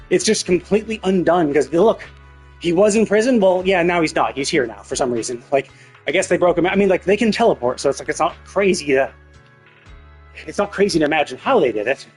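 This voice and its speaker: A man with a husky voice giving an opinionated, emphatic, sweary rant as a game streamer in casual commentary. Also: high-pitched, a little mellow.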